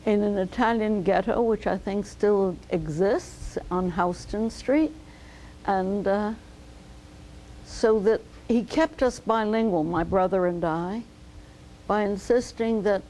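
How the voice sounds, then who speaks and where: An older woman, a physician, telling her life story slowly and thoughtfully in an oral history interview. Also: medium pitch, gravelly, mellow, a little hiss or hum, poor audio. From United States. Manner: measured, reflective storytelling.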